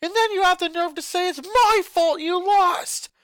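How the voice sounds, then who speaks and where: A man's voice: extremely high pitch, normal speed, no room sound. A man voicing an angry eleven-year-old boy who rants and accuses his sisters in a fanfic reading. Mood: furious. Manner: ranting, accusing, voice rising to shouts.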